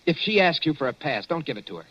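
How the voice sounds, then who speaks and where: A brisk, impatient young newspaperman in an old radio drama. His voice is bright and forceful, heard through a dull, lo-fi broadcast. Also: fairly high pitch, sing-song, no room sound, full character voice. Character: brash young American newspaperman. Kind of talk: 1940s radio acting.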